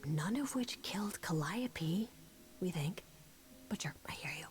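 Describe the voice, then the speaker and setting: A woman playing a sardonic, teasing young sidekick in a video game, with wry, breathy asides. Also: fairly low pitch, animated, unhurried, faint music behind.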